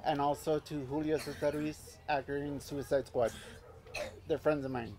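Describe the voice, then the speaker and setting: A man's voice: fairly high pitch, level tone, moderate pace, very noisy. Man, late 30s, earnest personal testimony outdoors; loosely strung, heartfelt delivery. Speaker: speaker giving a testimony. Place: outdoor park event. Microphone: handheld mic on stand. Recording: faint outdoor ambience.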